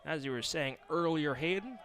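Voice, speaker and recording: A man's voice: fairly high pitch, expressive, moderate pace, fairly bright tone. A man calling live sports as a play-by-play announcer on a broadcast.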